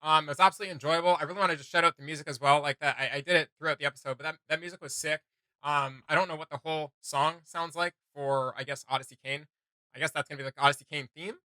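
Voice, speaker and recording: A man hosting a video game reaction show, chatting casually in a rambling, excitable, slangy way. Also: on the high side, racing, no room sound.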